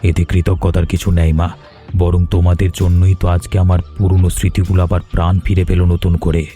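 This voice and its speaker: An actor voicing a kind, generous older village gentleman in a cartoon, speaking in a gentle, fatherly way. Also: low voice, flat delivery, a little fast, faint music behind, lightly acted. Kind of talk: cartoon voice acting.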